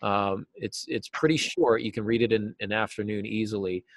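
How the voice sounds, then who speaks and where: Middle-aged American male guest, lower register, explains things informally and haltingly, little room sound. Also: fairly even intonation, dry recording. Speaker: interview guest, novelist and writing teacher. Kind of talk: casual interview answers. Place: small cluttered office, on a video call. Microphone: video call.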